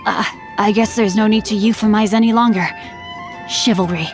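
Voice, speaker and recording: A woman's voice: mid-range voice, fairly even intonation, moderate pace, soft background music, close to an everyday voice. A woman voicing a refined, bookish young nobleman in a video game, youthful, polite and eloquent, with a mischievous, chivalrous streak. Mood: earnest, proud. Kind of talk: scripted video game character lines.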